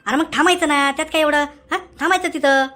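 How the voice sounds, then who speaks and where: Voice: high voice, fast, sharp tone. An actor playing a cocky, bossy gangster boss in a comic cartoon, drawling and peppering orders with questioning grunts. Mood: scolding, bossy. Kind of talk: comic cartoon dialogue in Marathi mixed with street Hindi.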